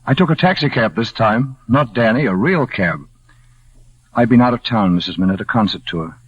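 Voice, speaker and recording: Husky, courteous older-style gentleman musician. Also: lowish voice, lively intonation, normal speed. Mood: calm, courteous. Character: courteous, perceptive male concert musician, family friend. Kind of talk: 1940s radio drama acting. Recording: muffled vintage radio recording.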